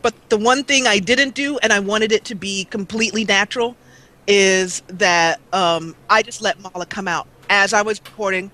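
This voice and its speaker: Young woman guest rambling on, lotsa ums, bright sharp voice. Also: mid-range voice, moderate pace. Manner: chatty, many fillers and restarts. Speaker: guest, let's player. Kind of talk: casual interview talk.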